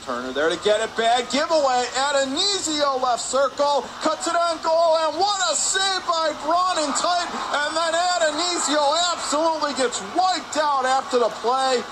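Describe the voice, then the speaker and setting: A man's voice: very high-pitched, lively intonation, normal speed, hardly any pauses. A man doing live radio play-by-play of ice hockey in short, emphatic running phrases. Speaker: play-by-play commentator. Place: indoor ice hockey arena. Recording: noisy arena ambience behind.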